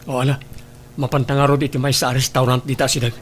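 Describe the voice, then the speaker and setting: A man's voice: medium pitch, little pitch movement, fast talker, soft background music, close to an everyday voice. A man with a northern Philippine accent acting an earnest, guilt-ridden husband and father in an audio drama. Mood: urgent. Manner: earnest, pleading. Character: guilt-ridden married father torn between family and mistress.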